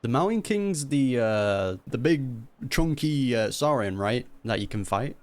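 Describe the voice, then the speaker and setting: A man's voice: medium pitch, lively intonation, a little slow. A man giving casual, joking reaction commentary as a gaming streamer. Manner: joking, chuckles often.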